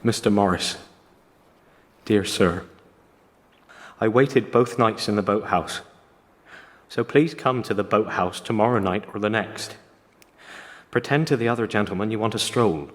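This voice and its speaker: A man's voice: on the low side, unhurried, pauses often, close to an everyday voice. Long reverb surrounds a man acting an earnest young male gamekeeper. He voices his letter with a servant's formality and longing, in radio drama style. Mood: earnest, yearning.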